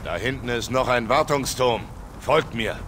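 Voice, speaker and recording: An actor playing a gruff, world-weary squad leader in a video game, giving terse orders in a gravelly voice. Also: male voice, mid-range voice, lively intonation, normal speed, a little hiss or hum. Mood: dry, weary. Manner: terse, commanding. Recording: loud game ambience behind.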